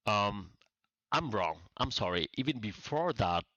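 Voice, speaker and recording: A man from Vietnam in his forties, a startup founder as a podcast guest, talking casually and haltingly with many restarts. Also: on the low side, big pitch swings, fairly bright tone, no room sound. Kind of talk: casual interview talk. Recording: dry, dull lo-fi sound.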